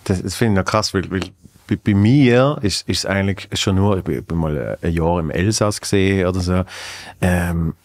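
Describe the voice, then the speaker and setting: Low-voiced young man with Basel accent. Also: moderate pace. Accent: Basel Swiss German. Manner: hesitant, repeats words, laughs often. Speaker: podcast host. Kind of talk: casual conversation. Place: dark podcast studio at a table. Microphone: studio desk mic on a boom arm.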